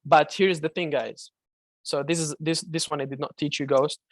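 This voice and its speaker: Malaysian man with a gravelly voice. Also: a little high, steady tone, moderate pace, dry recording. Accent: Malaysian English. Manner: casual, chatty, many fillers and restarts. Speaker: trading mentor. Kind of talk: live-streamed trading Q&A.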